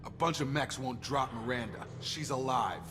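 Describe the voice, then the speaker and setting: An actor playing a steady, loyal young soldier in a video game, plain-spoken and informal with an American accent. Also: male voice, medium pitch, moderate pace, over music, close to an everyday voice. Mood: firm, insistent. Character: steady, loyal male soldier and station security chief.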